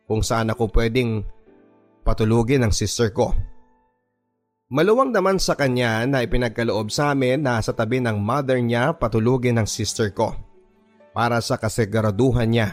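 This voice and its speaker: A man with a voice of ordinary, mid-range pitch reads a listener's letter for a radio drama. He tells it in first person at an earnest, measured pace, with soft music playing underneath. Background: faint background music.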